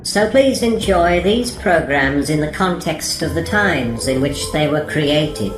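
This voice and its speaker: A woman's voice: sits low, animated, slow. A woman host reading a scripted, spooky-themed introduction to an old-time radio program. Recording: echoey room with prominent music behind. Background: music.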